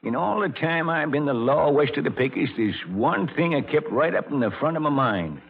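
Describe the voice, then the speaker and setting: On a muffled vintage radio recording, an older frontier judge with a gravelly Western drawl. He is folksy and self-important, swinging his pitch widely as he hands down pronouncements like a saloon king. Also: expressive, normal speed, music in the background. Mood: proud, proclaiming. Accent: American Western drawl. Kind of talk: old-time radio drama acting.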